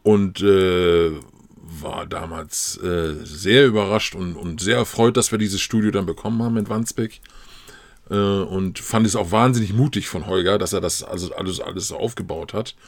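A man who is a regular on the podcast, reminiscing casually with drawn-out fillers. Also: sits low, gravelly, dry recording. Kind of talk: casual conversation.

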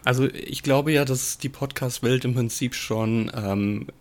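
A man's voice: mid-range voice, a little slow. A man in a casual podcast chat who makes dry, ironic remarks.

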